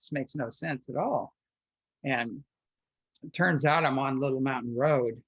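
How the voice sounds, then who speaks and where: A man's voice: mid-range voice, measured pace, fairly bright tone, slightly rough, dry recording. Rambling man on a dull, dry recording. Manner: rambling, with frequent restarts and filler words. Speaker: guest. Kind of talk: personal storytelling in an interview.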